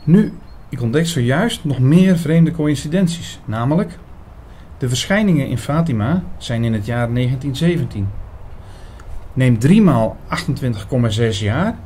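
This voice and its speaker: A man playing an earnest priest who narrates a mystery audio drama in a measured, bookish, formal way. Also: medium pitch, expressive, mellow, slight background noise, lightly acted. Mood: thoughtful. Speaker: narrator and lead actor.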